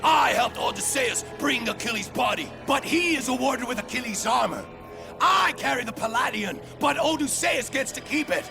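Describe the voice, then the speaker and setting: Proud angry greek warrior from a game, high strained pitch, big swings, shouty n anguished. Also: male voice, animated, normal speed, gravelly, over music, heavily acted. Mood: indignant, furious. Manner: declamatory, intense, often shouting. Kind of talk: dramatic video game cutscene acting.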